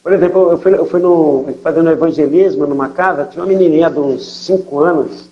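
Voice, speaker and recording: A man's voice: fairly high pitch, a little fast, lo-fi sound, slight background noise. An older man from São Paulo with a São Paulo accent, speaking as a missionary and telling stories in an interview, leaning on fillers and tag questions. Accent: São Paulo Portuguese. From São Paulo, Brazil. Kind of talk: conversational testimony interview.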